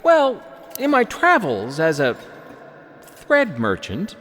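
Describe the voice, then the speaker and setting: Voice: medium pitch, wildly swooping pitch, slow, a bit bright, slight background noise, heavily acted. A performer playing a male travelling thread merchant in a comic audio drama, sly, nitpicking, hesitant and fussy. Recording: reverberant hall with music underneath.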